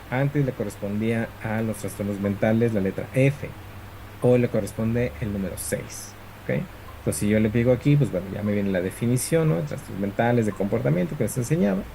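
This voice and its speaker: A man's voice: medium pitch, gravelly, dark tone, a little hiss or hum, rough recording. A man, lecturing an online class over a video call, explaining step by step with many fillers and tag questions. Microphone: computer mic on video call.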